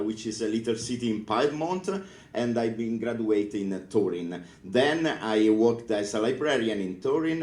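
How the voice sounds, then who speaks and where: A middle-aged man from northern Italy with an Italian accent, giving a conference talk in English in a hesitant, halting way with many filler sounds. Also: fairly low pitch, a little mellow, echoey room. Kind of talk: conference speech. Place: conference room.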